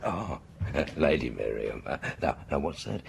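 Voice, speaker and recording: An actor playing a brusque, bumbling middle-aged British police inspector in a radio mystery drama, with halting, blustering speech. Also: male voice, a bass voice, very animated, slight background noise, heavily acted. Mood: awkwardly polite. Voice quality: gravelly, husky. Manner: blustering, halting, repeats himself.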